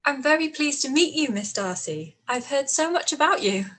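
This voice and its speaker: A woman playing a gracious young gentlewoman in a period radio play, composed and politely witty. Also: sits high, lively intonation, normal speed. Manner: polite, composed, lightly teasing. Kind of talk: live-read period radio play acting.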